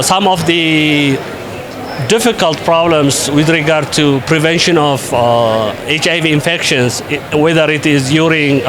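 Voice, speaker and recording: Measured man, noisy street behind. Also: fairly high pitch. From Ethiopia. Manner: measured, with many hesitations and fillers. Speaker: invited conference speaker, researcher. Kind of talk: interview answers.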